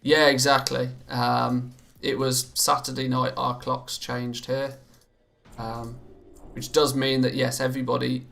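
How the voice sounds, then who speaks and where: A man's voice: medium pitch, monotone, a little slow, vocal fry, a bit bright. A British man chatting casually on a live stream from home. He reads out viewer comments and answers them, often stammering and restarting, and laughs easily. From Britain. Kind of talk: casual live-stream chat while gaming.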